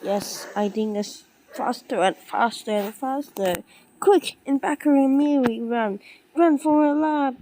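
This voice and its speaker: A woman's voice: sits high, slow, warm tone. A woman improvising a dramatic scenario, speaking in a hesitant, repetitive way. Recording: noisy homemade recording.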